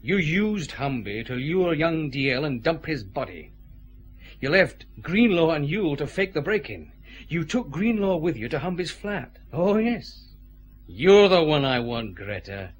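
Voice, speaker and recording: Relentless Scottish detective chief inspector, swooping pitch. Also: male voice, sing-song. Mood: stern, triumphant. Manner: measured, accusing, deliberate interrogation. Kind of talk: radio detective drama acting.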